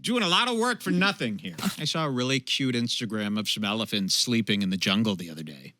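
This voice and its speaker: A man's voice: fairly low pitch, lively intonation, fast talker, pushed and bright. A man hosting a comedy show, casually commenting on clips in a sarcastic, heckling way. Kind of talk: mocking commentary over clips, casual chat.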